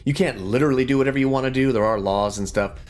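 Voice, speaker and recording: A man's voice: medium pitch, quick pace, darkish tone. A middle-aged man giving casual, reflective gaming commentary in an earnest, rambling way. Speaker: gaming YouTuber.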